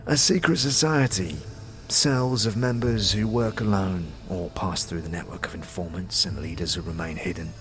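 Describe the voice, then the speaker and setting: Man playing a low-voiced, bitter undead skull. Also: moderate pace, fairly bright tone, slight background noise. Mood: fervent, secretive. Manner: streetwise slang, scornful, guarded. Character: bitter, conspiratorial dead anarchist, now a talking skull. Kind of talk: fantasy game audio drama acting.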